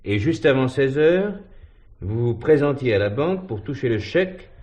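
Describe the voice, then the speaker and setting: An actor playing a stern police commissioner who questions formally and insistently, in a 1970s French radio drama. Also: male voice, medium pitch, animated, normal speed, a little mellow, close to an everyday voice. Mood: probing, dry.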